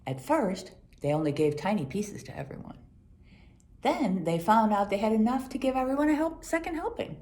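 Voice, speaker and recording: A woman reading a children's Bible story aloud in an expressive storytelling manner. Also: mid-range voice, moderate pace, a little hiss or hum. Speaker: storybook reader.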